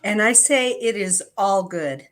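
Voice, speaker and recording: A woman's voice: mid-range voice, lively intonation, unhurried, a bit bright. A woman chatting casually as a guest reader at a spiritual service. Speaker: affirmation reader.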